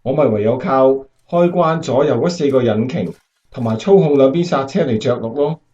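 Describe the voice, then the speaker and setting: Actor as steady bomber captain, muffled audio. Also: male voice, medium pitch, normal speed, lightly acted. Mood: modest, eager. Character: steady, earnest male American WWII bomber pilot captain. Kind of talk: Cantonese radio drama acting.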